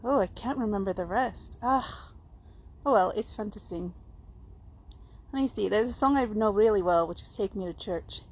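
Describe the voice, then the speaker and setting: A woman's voice: medium pitch, moderate pace. A woman with a Scottish-sounding accent chatting casually in a rambling, self-deprecating way, as a home vlogger on a handheld camera. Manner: meandering, self-deprecating asides. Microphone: handheld camera or phone. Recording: clear background noise, handheld home video.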